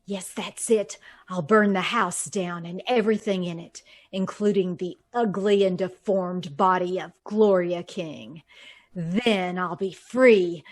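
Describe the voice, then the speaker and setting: A woman plays a cold-blooded schemer from an old radio thriller. She sits in a middle register and talks down to people with calm menace, like someone who has planned every step. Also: medium pitch, slow pace. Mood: scheming. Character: cold, scheming murderess pretending to be another woman. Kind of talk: old-time radio drama acting.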